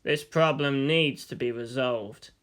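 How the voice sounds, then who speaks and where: A narrator voicing a cold, calculating middle-aged male commander in a slow, deliberate, grave manner. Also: medium pitch, pitched below the performer's own voice, lightly acted.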